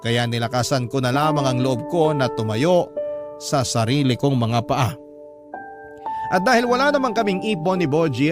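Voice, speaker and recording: Male radio drama narrator over music. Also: a little high. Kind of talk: scripted first-person dramatic narration. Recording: clear music bed behind the voice.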